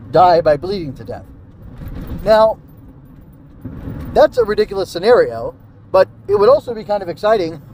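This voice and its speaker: A man's voice: high voice, darkish tone, a little hiss or hum. A man giving a casual solo podcast review, rambling and hesitant as he thinks aloud. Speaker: podcast host reviewing an old radio drama.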